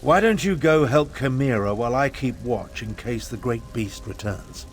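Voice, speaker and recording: A man's voice: mid-range voice, animated, lightly acted. Man playing a pompous old battlemage over faint music. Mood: grave, brisk. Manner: pompous, sardonic, measured. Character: proud, haughty, weary elderly battlemage. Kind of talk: video game character dialogue.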